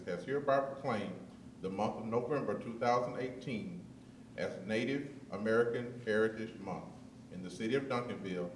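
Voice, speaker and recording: A man, a city councilmember, reading a formal proclamation aloud in a steady way. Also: on the low side, slight background noise. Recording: echoey chamber, mic from far off.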